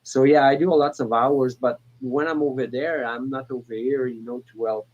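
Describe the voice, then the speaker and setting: A man in his forties calling in to a talk show, speaking in a halting way with many restarts and fillers. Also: medium pitch, fairly even intonation, dull audio. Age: 40s. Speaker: caller. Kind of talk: casual call-in chat.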